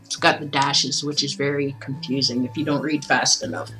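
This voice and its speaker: A woman livestreaming in a chatty, expressive way, as a host who reads aloud and talks with viewers. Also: very deep voice, normal speed, low-quality recording. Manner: chatty, expressive, breaks off into asides. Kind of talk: casual livestream chat with storybook reading aloud. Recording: music playing behind the voice. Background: background music.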